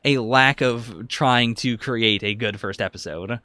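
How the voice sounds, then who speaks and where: Man, podcast co-host, conversational, hedging delivery, mid-range pitch, dry recording. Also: measured pace, bright, forceful tone, no room sound. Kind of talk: casual anime review discussion.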